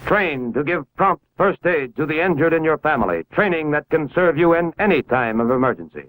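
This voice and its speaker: An American man with a slightly high voice reads a scripted civil defense narration in the style of a 1950s educational film. His delivery is formal and instructional, giving directions with authority. The sound is a vintage film soundtrack, recorded very dry. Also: expressive, fairly quick, fairly bright tone, no echo, low-quality recording. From United States.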